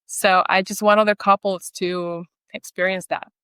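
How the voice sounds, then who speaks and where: A woman with a Puerto Rican accent, Spanish her first language, talks earnestly and at length as a podcast guest. Her voice is smooth and resonant, recorded dry and close. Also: medium pitch, level tone, normal speed, fairly bright tone, dry recording. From Puerto Rico. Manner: earnest, storytelling. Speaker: guest chiropractor. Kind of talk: podcast interview.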